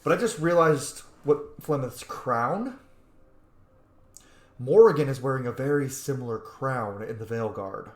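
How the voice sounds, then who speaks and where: A man's voice: mid-range voice, animated, measured pace, roomy sound. A man giving casual, sweary running commentary as he streams a roleplay video game. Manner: informal, sweary.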